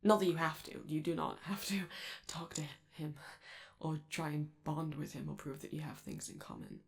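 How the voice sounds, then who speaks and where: Casting: woman to play sweet, fidgety robot crewmate for ASMR comfort audio; slightly low, gravelly fry, shy rambling manner. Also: normal speed, darkish tone. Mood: hesitant, encouraging. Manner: hesitant, rambling, fidgety, reassuring. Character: friendly, nervous, chatty robot alien crewmate, gentle and awkward. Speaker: ASMR roleplay performer. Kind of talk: ASMR comfort roleplay, conversational.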